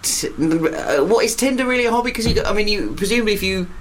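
A man's voice: high-pitched, animated, fast talker, rough recording. Young male co-host, dry sarcastic riffs, faint hiss behind. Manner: crude, sarcastic, rambling riffs. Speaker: podcast co-host. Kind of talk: casual comedy chat.

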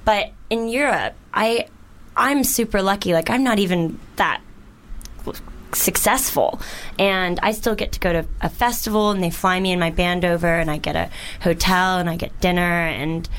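A woman's voice: lowish voice, moderate pace, a bit bright. A woman from Texas with an American accent, a jazz musician chatting animatedly as a guest and telling stories with plenty of fillers. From Texas, United States. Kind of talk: casual podcast chat.

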